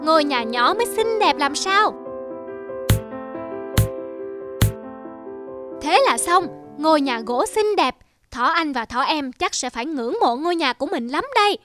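A woman's voice: high-pitched, lively intonation, moderate pace, music in the background. A woman voicing a carefree, boastful young rabbit brother in a children's cartoon, exclamatory and sing-song. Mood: delighted.

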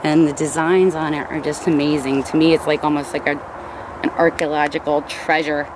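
A woman's voice: sits low, a little fast. Chatty woman touring her forest hut. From New Jersey. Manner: chatty, rambling, many ums and likes. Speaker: bushcraft builder giving a tour. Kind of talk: casual show-and-tell. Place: outdoors in woods, inside and beside a stick hut. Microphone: camera held by another person. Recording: outdoor recording with steady background noise.

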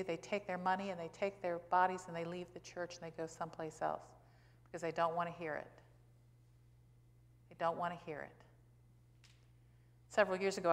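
American lady preacher in her 60s, lowish voice, talks plainly and leans on key words, echoey church. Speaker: pastor. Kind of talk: sermon with rhetorical questions. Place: church sanctuary, indoors at a pulpit. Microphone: pulpit gooseneck microphone. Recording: reverberant church hall.

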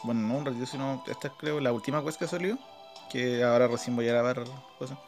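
A man's voice: medium pitch, quick pace. A man with a Chilean Spanish accent giving casual, informal gameplay commentary, thinking aloud as he plays. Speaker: gaming video host. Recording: game music behind the voice. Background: game music.

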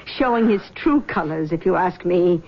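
A woman's voice: fairly low pitch, animated, moderate pace. An actress playing a possessive, manipulative middle-aged mother in a 1970s radio drama, soothing and controlling. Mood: persuasive. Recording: dull lo-fi old radio broadcast.

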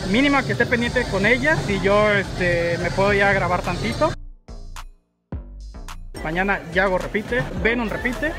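Casual vlogger, medium pitch, outdoor setting, loud music underneath. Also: measured pace. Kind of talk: casual vlog narration. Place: outdoors at a busy bazaar.

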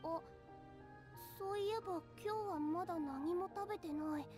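Timid, excitable young female researcher for a game: girlish, extremely high, stammery, formal, over background music. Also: normal speed. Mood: earnest, fascinated. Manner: hesitant stammering, formal and precise.

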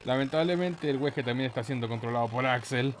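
A man with a Chilean Spanish accent giving casual, excitable let's play commentary in a playful, slangy, exclamatory manner. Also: mid-range voice, fairly quick, slight background noise. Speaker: gaming YouTuber, host.

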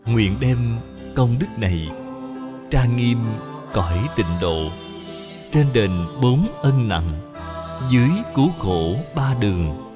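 A man reading credits and a dedication in a slow, solemn recitation. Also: lowish voice, lively intonation. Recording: music behind the voice.

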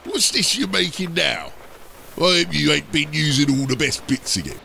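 Very gravelly, gruff ork mechanic. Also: male voice, on the high side, lively intonation, normal speed, sharp tone, a little hiss or hum, pitched above the performer's own voice, heavily acted. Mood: exasperated. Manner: rough, bellowing, ungrammatical slangy speech. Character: gruff exasperated male ork mechanic chief, crude and scheming.

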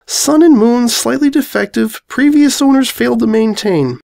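A man's voice: extremely high pitch, normal speed, creaky, darkish tone, pitched above the performer's own voice. A man voicing an exasperated, sarcastic young male dragon in a comic story. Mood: weary. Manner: groaning, exasperated.

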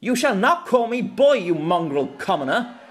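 A man's voice: sits high, very animated, moderate pace, voice pushed up. A man voicing a pompous, indignant young nobleman guard captain in a haughty bellow for an audiobook. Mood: outraged, haughty.

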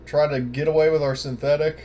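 A man's voice: a little high, fairly even intonation, a little fast, dark tone. A man chatting casually as a player in an online tabletop role-playing game, often speaking in character. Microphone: online voice call.

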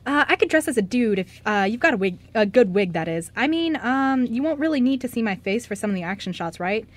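A woman's voice: a little high, animated, brisk, lightly acted. A woman playing a bubbly, impulsive young stuntwoman who gushes and rambles, in an amateur radio play. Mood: eager. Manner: gushing, rambling.

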